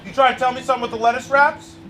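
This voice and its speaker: A man hosting a sports betting show who talks casually and teases his coworkers loudly in an office. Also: very high-pitched, flat delivery, rapid-fire, faint music behind. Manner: loud and teasing, ribbing coworkers.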